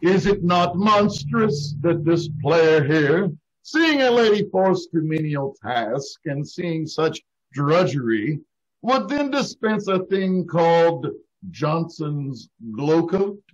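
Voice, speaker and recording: A man's voice: on the high side, animated, slow pace. A man playing a pompous, hammy announcer who declaims grandly like a Shakespearean actor in old-time radio comedy. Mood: grandiose. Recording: dull online call recording.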